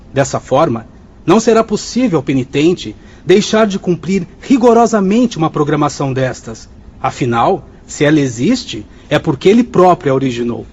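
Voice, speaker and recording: Radio drama: formal Brazilian spirit instructor, lecturing firmly, husky tone, muffled recording. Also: male voice, fairly high pitch, a little mellow. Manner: didactic, formal. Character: male spirit instructor, stern yet courteous.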